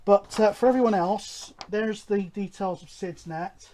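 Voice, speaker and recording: Casual, halting man presenting. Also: high-pitched, dark tone, slight background noise. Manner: halting, rambling, informal. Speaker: presenter of a CB radio hobby show. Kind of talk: casual update and announcements to viewers.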